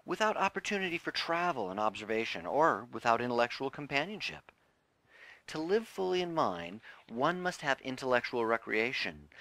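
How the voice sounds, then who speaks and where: Expressive male audiobook reader, close dry sound. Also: mid-range voice, dry recording. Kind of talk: scripted narration of a self-help audiobook.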